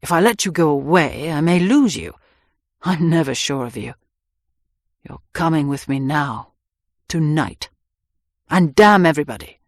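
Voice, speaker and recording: Woman narrator puts on a passionate young man, deeper, urgent, audiobook. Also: lively intonation, measured pace, pauses often, no room sound, studio quality. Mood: fierce. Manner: urgent, rough, pleading. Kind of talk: audiobook character voice.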